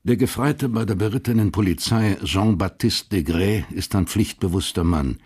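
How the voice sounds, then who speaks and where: A man narrating a radio crime drama in a measured, literary storytelling manner. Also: low-pitched, a little mellow, breathy edge.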